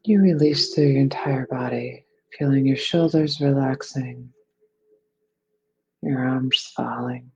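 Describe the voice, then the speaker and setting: A person leading a guided meditation in a soothing, unhurried manner. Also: mid-range voice, highs cut off. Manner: soothing, unhurried phrasing with breathy releases.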